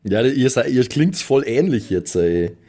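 A man's voice: medium pitch, sing-song, measured pace, mellow. A man in casual, slangy, teasing banter during a tabletop role-playing game. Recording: clear background noise, chirping night ambience.

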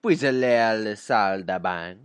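A man's voice: fairly low pitch, moderate pace, smooth, no echo. A young man on a podcast's staff putting on a hammy mock French accent as a comic bit. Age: young adult. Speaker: show staff member.

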